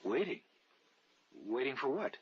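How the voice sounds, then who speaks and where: An actor playing a skeptical, stubborn American husband abroad in a 1940s radio drama. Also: male voice, mid-range voice, very animated, a little slow, breathy edge, heavily acted. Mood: incredulous. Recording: muffled, noisy old radio drama recording.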